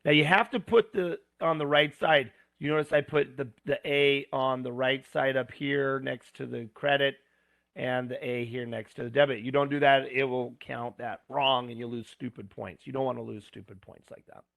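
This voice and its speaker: A man teaching, talking step by step through homework problems with plain asides, his voice going hoarse. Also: medium pitch, steady tone, moderate pace, fairly bright tone, no room sound. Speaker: instructor. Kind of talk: screen-recorded homework walkthrough.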